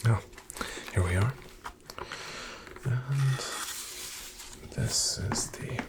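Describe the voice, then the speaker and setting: A man playing a polite, reassuring financial advisor in an ASMR roleplay, in a breathy half-whisper with gravelly fry and a slow, soothing, formal delivery. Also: mid-range voice, very noisy. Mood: softly pleased. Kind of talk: ASMR, soft-spoken roleplay.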